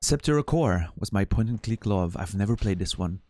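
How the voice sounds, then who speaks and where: A man's voice: lowish voice, lively intonation, a little fast, darkish tone, dry recording. Profane Swiss French man, rambling. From Switzerland. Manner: rambling and profane, with frequent restarts. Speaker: streamer. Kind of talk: casual chat with chat viewers.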